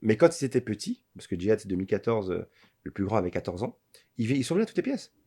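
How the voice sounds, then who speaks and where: A man's voice: on the low side, big pitch swings, quick pace, warm tone, husky. A man in his forties, a playwright being interviewed, talking reflectively and thinking aloud with frequent restarts. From Belgium. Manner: reflective, many restarts and fillers, builds lists. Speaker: guest, playwright. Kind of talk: candid podcast interview.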